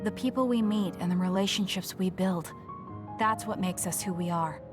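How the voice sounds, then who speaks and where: A woman voicing a composed, blunt young female soldier in an anime game dub, measured and reserved. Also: medium pitch, natural voice. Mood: calm, consoling. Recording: music playing behind the voice. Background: game background music.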